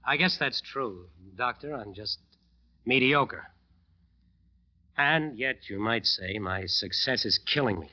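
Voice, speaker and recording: An actor playing a haunted, worn-out bandleader in an old radio drama, speaking with weary, halting intensity. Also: male voice, medium pitch, bright, forceful tone, slight background noise. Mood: brooding, weary. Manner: weary, halting, intense, brooding first-person narration. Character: haunted, exhausted bandleader wasting away under a curse. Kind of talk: 1940s-50s radio drama acting. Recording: dull old radio recording with music behind.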